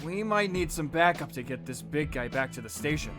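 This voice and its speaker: An actor voicing a polite but firm jellyfish police officer in an audio drama, over loud music. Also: male voice, a little high, animated, a little fast, natural voice. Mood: calm authority. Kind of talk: audio drama character acting.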